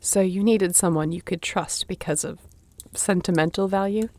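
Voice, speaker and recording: A woman's voice: fairly low pitch, moderate pace, darkish tone, natural voice. A woman playing a wry, shrewd thief for hire in a fantasy audio drama, with a dry, teasing, understated delivery. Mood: curious. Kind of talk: fantasy audio roleplay acting.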